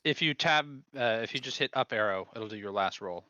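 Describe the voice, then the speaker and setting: A man's voice: on the low side, expressive, fairly quick, pushed and bright, no room sound. A man playing in a tabletop game, casual, making dry remarks, on a dull lo-fi mic. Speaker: player.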